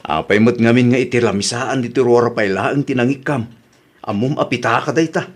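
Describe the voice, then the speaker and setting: A man's voice: medium pitch, brisk, a little hiss or hum, lightly acted. A man with an Ilocano accent playing a wary, practical husband in a comedy radio drama. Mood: grumbling. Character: wary, practical husband guarding household things.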